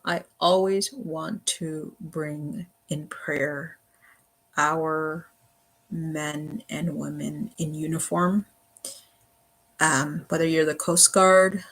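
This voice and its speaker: A livestream host talking casually and earnestly in a rambling, halting way, often repeating phrases and saying "you know". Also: mid-range voice, bright, forceful tone, low-quality recording.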